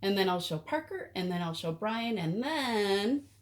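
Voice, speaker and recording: A woman voicing an excitable, chatty little girl in a picture book, with an eager, childlike manner. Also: mid-range voice, a little slow, lightly acted. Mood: excited, chattering.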